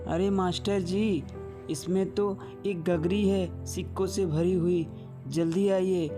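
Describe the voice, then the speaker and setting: An eager young male traveller in a cartoon story, voiced by a man, calling out in a raised pitch at a slow pace. Music plays clearly behind. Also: fairly even intonation. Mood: excited.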